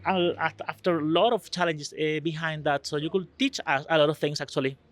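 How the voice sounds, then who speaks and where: Earnest man, fairly high voice. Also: fairly even intonation, brisk. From Spain. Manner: earnest, searching for words, non-native phrasing. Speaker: EU delegate guest. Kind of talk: conversational interview answers.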